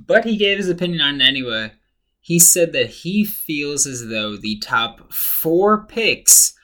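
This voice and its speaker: A man hosting a sports podcast, chatty and opinionated, his voice slightly hoarse from talking a lot. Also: medium pitch, pushed and bright. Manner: chatty and opinionated, thinks aloud. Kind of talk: casual sports commentary.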